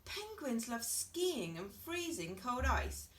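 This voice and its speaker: An anxious storybook mother hen, acted by a woman reader. The voice is high and swoops widely with fretful, dramatic exclamations, drawing out words in dismay. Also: sing-song, takes it slowly, a bit bright, far from the mic, exaggerated voice.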